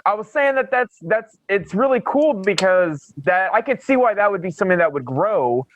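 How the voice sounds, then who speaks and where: A man's voice: high voice, expressive, moderate pace, a little hiss or hum. A man hosting a podcast over a video call, chatting casually and eagerly in plain informal language. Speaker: podcast host. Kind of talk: casual chat. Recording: slightly muffled dry call audio.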